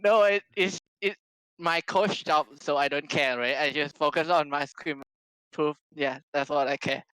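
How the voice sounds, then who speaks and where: A man with a smooth, clear voice and a dry, close sound chats casually as a podcast guest. He is a non-native English speaker who builds short, halting, simple phrases. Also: a little high, a bit bright, no echo, low-quality recording. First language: not English. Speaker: podcast guest, esports player. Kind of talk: casual chat.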